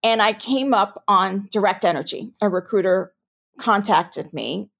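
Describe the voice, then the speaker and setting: A woman from New York with a New York accent, answering interview questions as a compliance officer in a measured, explanatory way. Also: medium pitch, vocal fry, fairly bright tone. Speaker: chief ethics and compliance officer.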